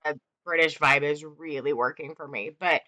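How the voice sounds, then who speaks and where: A woman in her thirties, an author guest on a video call from home, telling stories in a lively, animated way. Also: low-pitched, normal speed. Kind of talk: casual video-call interview. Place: home room.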